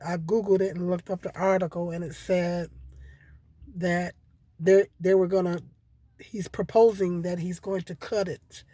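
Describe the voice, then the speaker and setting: A hoarse woman with a cold, talking casually and rambling to her viewers with many repeats and fillers. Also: lowish voice, dark tone, no echo. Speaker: vlogger. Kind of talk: casual solo commentary.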